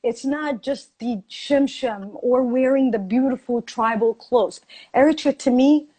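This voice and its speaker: A woman's voice: sits high, unhurried, dark tone, slightly rough. A woman from Ethiopia in a heated debate, talking passionately and insistently, repeating phrases for emphasis.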